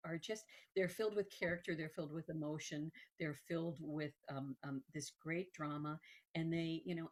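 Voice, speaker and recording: A woman hosting a conversational interview, often halting and repeating words as she thinks. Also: low-pitched, a little mellow, slightly rough. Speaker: host.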